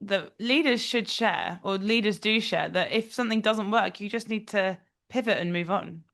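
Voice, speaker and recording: A woman hosting a podcast interview, who affirms her guest and asks questions with quick laughs. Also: medium pitch, gravelly, fairly bright tone. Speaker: podcast host.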